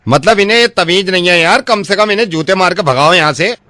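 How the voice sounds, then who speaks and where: A man's voice: high voice, fairly quick, bright, forceful tone, dry recording, clean studio sound, pitched above the performer's own voice. A man playing an aggrieved, cheeky flower decorator on a prank call, complaining bluntly in colloquial speech.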